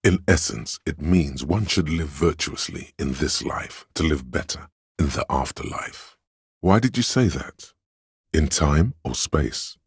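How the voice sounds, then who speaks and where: Very deep, husky know-it-all inner voice. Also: male voice, measured pace, no room sound, clean studio sound, heavily acted. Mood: grave, pondering. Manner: erudite, matter-of-fact, deadpan. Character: pedantic, dry male inner voice of encyclopedic knowledge. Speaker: game skill narrator. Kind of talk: scripted game narration, encyclopedic asides.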